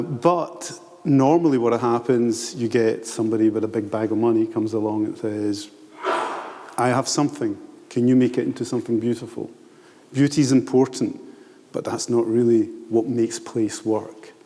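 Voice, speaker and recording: A man's voice: mid-range voice, fairly even intonation, moderate pace, warm tone. An older man with an Italian accent, an architect and planner speaking on a panel in a wry, frank and self-deprecating way. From Italy. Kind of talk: candid panel talk. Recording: long hall reverb.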